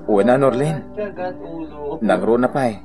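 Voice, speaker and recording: A man's voice: mid-range voice, normal speed, over loud music, lightly acted. A man with a Filipino accent playing a weary, frustrated, dutiful young son in a radio drama.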